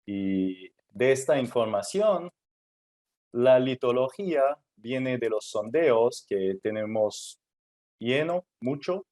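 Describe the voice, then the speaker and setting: A man presenting at a technical workshop in Spanish, which is his third language, speaking haltingly with many fillers and restarts. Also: mid-range voice, expressive, a little mellow. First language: not Spanish; Spanish is his third language.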